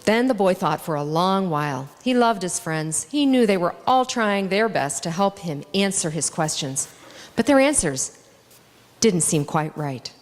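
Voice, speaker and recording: A woman with a lowish voice reads a children's story to a gathering of all ages. Her delivery is slow and highly animated as she dramatizes the characters. Her voice rings through a large hall with long reverb. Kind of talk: expressive read-aloud children's story narration.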